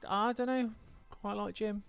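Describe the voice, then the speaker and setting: A man's voice: sits high, fairly even intonation, unhurried, gravelly, a bit bright, faint music behind. Man joking through casual podcast chat. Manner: rambling, joking. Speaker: podcast co-host. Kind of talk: casual comedic film chat.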